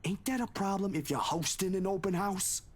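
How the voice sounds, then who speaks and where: A woman's voice: medium pitch, fairly quick, close to an everyday voice. A woman voicing a friendly, laid-back young man in a visual novel, with folksy phrasing. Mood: casual, persuasive. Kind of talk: visual novel character read-aloud. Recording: background music behind voice.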